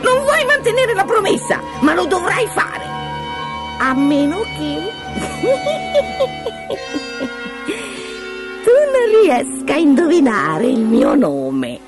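A woman voicing a gleeful, taunting little male dwarf from a fairy tale, with a shrill, sneering delivery. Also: huge pitch swings, a little slow, over loud music, exaggerated voice. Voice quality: shrill, cackling. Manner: sneering, gloating, bursts of exclamation. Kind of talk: fairy-tale storybook character voice.

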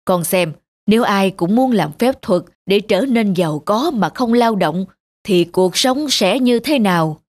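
An actor playing a gentle old male bear wizard mentor in a children's cartoon, speaking patiently and earnestly. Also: medium pitch, animated, measured pace. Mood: concerned, kindly. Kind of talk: dubbed children's moral cartoon.

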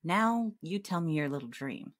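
A woman's voice: low voice, expressive, moderate pace. An actress playing a dry, skeptical female police detective in a radio drama, speaking tersely. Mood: dry, questioning.

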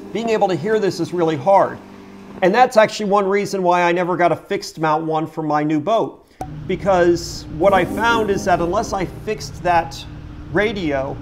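A man's voice: sits high, noisy background. A middle-aged man narrating an explanatory vlog as its host, in a plain-spoken, emphatic way. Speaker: host of a boating channel.

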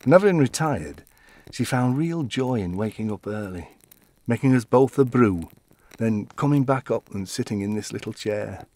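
Elderly male widower, gentle and a bit fussy, acted by a man, mid-pitched, reflective audio-drama monologue with halting delivery, very dry sound. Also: no room sound, close to an everyday voice. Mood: wistful. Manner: reflective, halting storytelling with animated quoting. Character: elderly widower, gentle, wistful, fussily defensive.

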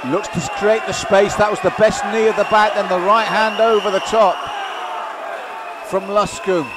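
A male fight commentator delivers live kickboxing play-by-play with excited emphasis and open admiration. His voice sits a little higher than average. It is recorded in a very noisy live venue, with a loud crowd behind him. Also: moderate pace. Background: loud crowd and arena noise.

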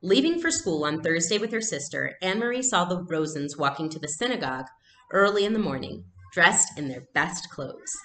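Young woman, low voice, teacher reading and explaining, home recording, slight background noise. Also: sing-song, fairly quick, bright, forceful tone, echoey room. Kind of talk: read-aloud lesson, explaining and narrating. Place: small furnished room at home.

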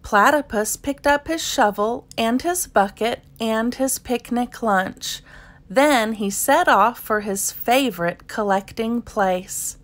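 A woman reading a children's picture book aloud in an expressive narrating style. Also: fairly high pitch, slow pace. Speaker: storybook reader.

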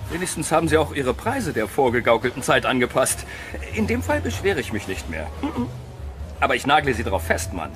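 An actor playing a cocky young hotel guest in a German audio drama, sarcastic and flippant. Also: male voice, medium pitch, sing-song, brisk, music in the background, natural voice. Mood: sarcastic, mocking.

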